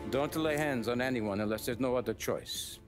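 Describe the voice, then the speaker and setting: A calm old mafia don, played by an actor, gives orders in a measured, commanding voice. Clear music plays behind him. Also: male voice, mid-range voice, close to an everyday voice. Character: calm older male mafia boss.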